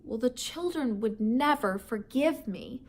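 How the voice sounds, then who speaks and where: A woman voicing a kindly older woman, a teacher and wife, speaking softly and often in a hush. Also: a little high, animated, unhurried. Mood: gentle.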